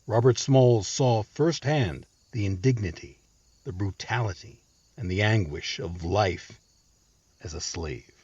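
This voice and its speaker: A man's voice: lowish voice, measured pace, darkish tone, slightly rough, dry recording, muffled recording. A man narrating a scripted history story with emphatic, dramatic phrasing, as the host of the show.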